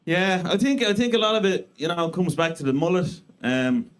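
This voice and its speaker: Irish man in his thirties, slightly high voice. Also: normal speed. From Ireland. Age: 30s. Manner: deadpan self-mocking delivery with crude punchlines. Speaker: stand-up comedian. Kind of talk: stand-up set. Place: comedy club stage before a live audience.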